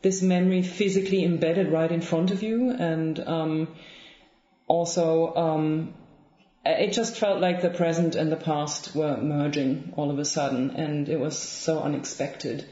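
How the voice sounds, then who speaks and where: A woman's voice: sits low, fairly even intonation, measured pace. German-accented woman, thoughtful, roomy sound. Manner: thoughtful, soft-spoken, many ums and restarts. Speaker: guest author. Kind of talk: reflective interview conversation. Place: home room with bookshelves, indoors. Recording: roomy, slightly dull lo-fi sound.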